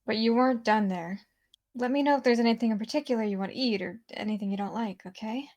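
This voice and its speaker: A woman acts out an eager, lovestruck teenage schoolgirl from a visual novel. Her voice is on the high side, and she talks with nervous determination. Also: fast, a little mellow, close to an everyday voice.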